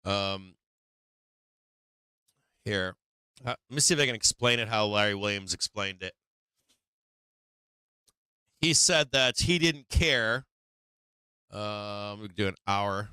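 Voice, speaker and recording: Bright, clear-voiced man hunting for words. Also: lowish voice, dry recording. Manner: halting, searching for words, frequent restarts. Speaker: host of a stock market livestream. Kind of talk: casual live chart commentary.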